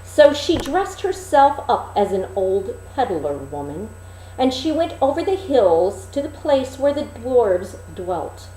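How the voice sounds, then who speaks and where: A woman reading a fairy tale expressively, with deliberate, dramatic phrasing and emphasis. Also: on the high side, sing-song, mellow, roomy sound, a little hiss or hum, low-quality recording. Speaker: storyteller, reader.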